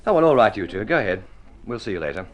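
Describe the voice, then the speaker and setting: An actor in golden age radio drama playing an affable garage attendant and sometime writer, dry and sardonic in manner. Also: male voice, fairly low pitch, sing-song, rapid-fire, dull audio. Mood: easygoing.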